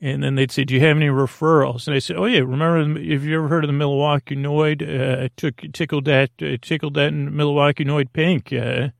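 A man hosting a sleep podcast, rambling in a gently silly, soothing way, halting and circling back on his words with a gravelly creak. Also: fairly high pitch, steady tone, no echo, studio quality. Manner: meandering, halting, trailing off mid-word and circling back. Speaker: podcast host. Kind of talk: rambling bedtime-story monologue to help listeners fall asleep.

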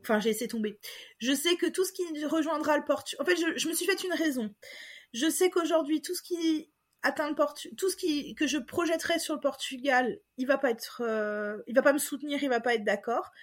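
A woman's voice: high voice, a little fast. A young woman from France sharing a personal story as a podcast guest, talking in a hesitant way with many fillers and restarts. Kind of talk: casual personal testimony.

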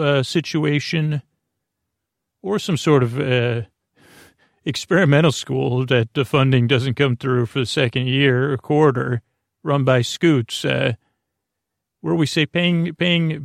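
A man's voice: on the high side, creaky, no echo, clean studio sound. A man hosting a bedtime podcast, talking in a soothing, lulling way and wandering off on tangents with many filler sounds. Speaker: podcast host. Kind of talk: rambling bedtime podcast intro.

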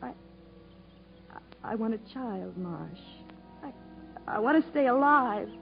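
An actress playing a devoted, lonely, pleading wife in a 1950s radio melodrama, earnest and halting. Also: female voice, on the high side, lively intonation, creaky, full character voice. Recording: thin, phone-like old radio broadcast, music.

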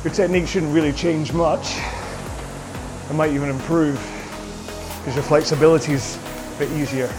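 A man coaching a rowing workout, calling out cues in an upbeat, jokey way, his voice slightly hoarse. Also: on the high side, steady tone, normal speed. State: a bit hoarse. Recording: music playing behind. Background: workout music.

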